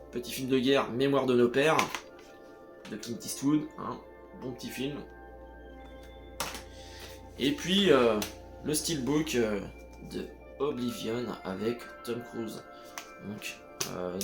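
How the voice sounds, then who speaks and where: A man talking casually to camera about his home cinema purchases, hesitating often and speaking straight to the viewer. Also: medium pitch. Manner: hesitant, full of "euh" fillers, addresses viewer as "tu". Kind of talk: casual unscripted chat to camera.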